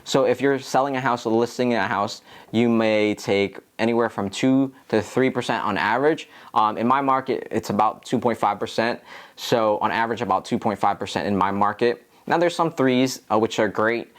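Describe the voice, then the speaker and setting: A man's voice: fairly low pitch, moderate pace. A man with an American accent talking casually to viewers as a tutorial host, in an earnest, encouraging, explanatory way. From Philadelphia, United States. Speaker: real estate agent and video host.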